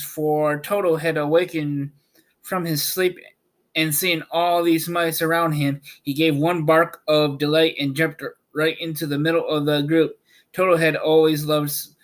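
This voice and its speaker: A man narrating a storybook aloud as host, reading in a halting way with stumbles. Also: a little high.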